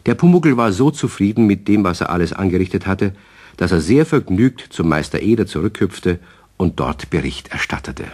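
A man narrating a children's radio play in an expressive, wry storytelling manner. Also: sits low, moderate pace, a little hiss or hum. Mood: wry, composed.

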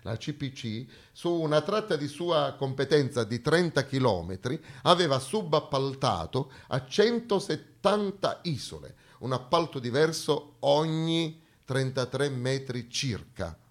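A man with a southern Italian accent delivering a satirical stage monologue in a dramatic, sarcastic, expressive storytelling manner. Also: mid-range voice, a little slow, hardly any pauses.

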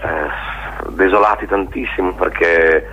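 A man being interviewed on radio, speaking in a halting, reflective way and often checking for agreement. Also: low-pitched, fairly even intonation, creaky, bright, forceful tone, breathy edge. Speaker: theatre maker being interviewed. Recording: dull, lo-fi radio interview sound.

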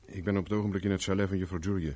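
A man's voice: deep voice, level tone, rapid-fire, a little mellow, husky. A man acting in a 1970s Dutch radio play as a dry, stubborn private investigator with a laconic, sardonic manner. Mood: brisk, irritated.

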